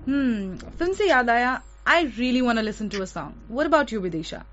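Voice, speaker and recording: A woman radio host with an Indian accent speaks in a very high-pitched voice. Her delivery is conversational presenting, addressed to a co-host and listeners. The audio is somewhat dull and lo-fi. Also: animated, fairly quick, a little hiss or hum.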